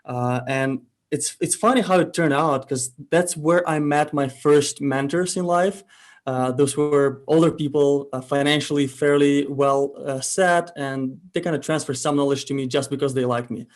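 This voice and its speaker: A young man from Ukraine, telling his story as a guest in a casual interview, relaxed and thoughtful with frequent pauses. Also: a little high. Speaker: guest entrepreneur.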